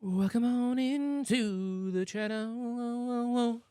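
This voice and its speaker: A man hosting a music reaction show, talking in a chatty, excitable way with many fillers and restarts. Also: very high voice, extremely slow, clear, resonant tone, no room sound. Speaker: music producer and show host. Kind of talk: casual reaction chat.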